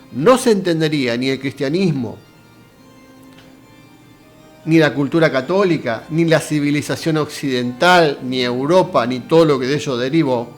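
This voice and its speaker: Argentine man lecturing on philosophy. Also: on the high side. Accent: Argentine Spanish. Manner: conversational, addresses viewer directly with rhetorical questions. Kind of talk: casual lecture.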